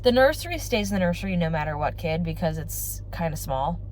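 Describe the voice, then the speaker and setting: A woman's voice: on the low side, animated, brisk, darkish tone, slight background noise. A young woman with a congested voice, chatting casually and rambling to her vlog audience. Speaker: family vlogger. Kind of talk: casual chat to camera.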